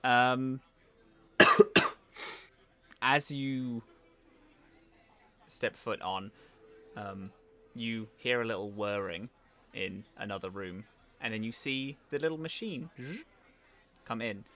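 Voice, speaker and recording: A man narrating as game master in an improvised tabletop roleplaying session, halting and thoughtful as he goes. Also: medium pitch, bright, forceful tone.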